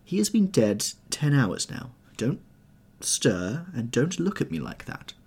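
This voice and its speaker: A man voicing a desperate young gentleman who pleads urgently and tensely, in an audiobook reading. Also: medium pitch, very animated, measured pace, breathy edge, voice pushed up, heavily acted. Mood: grave.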